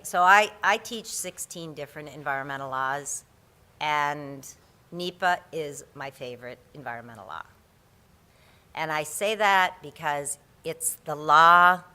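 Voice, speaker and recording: Casting: low, bright-toned woman instructor; chatty, self-deprecating, restarts a lot; mic in a big conference room. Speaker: environmental law instructor. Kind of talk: conference presentation. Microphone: handheld or podium microphone.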